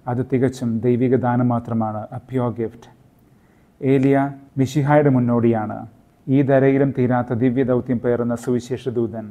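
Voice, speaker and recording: Flat-voiced man giving a sermon. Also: mid-range voice, phone-quality sound. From Kerala, India. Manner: measured, even delivery, mixing in English phrases. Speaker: priest and podcast host. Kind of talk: scripted sermon reflection. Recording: intro with music and roomy hall reverb.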